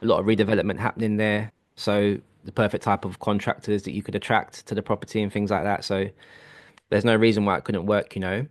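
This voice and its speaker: Low-voiced man coaching on a call. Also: fast talker, no room sound, clean studio sound. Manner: relaxed, reassuring, steady explaining. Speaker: property business coach.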